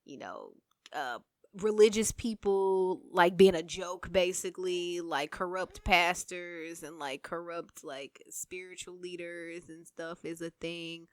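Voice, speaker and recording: A woman with a smooth, resonant, lowish voice talks as a podcast guest. She is chatty and runs through examples one after another with easy fillers. Her voice sounds close and dry. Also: slow, dry recording. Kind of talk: casual chat.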